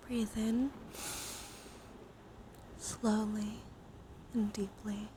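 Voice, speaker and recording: A woman streams ASMR in a mid-pitched voice that slips between breathy whispering and soft talk. Her delivery is intimate, soothing and encouraging. Clear background noise from the room sits behind her. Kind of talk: ASMR, whispered and softly spoken reassurance. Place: small warm room with computers running. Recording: noisy room ambience behind voice.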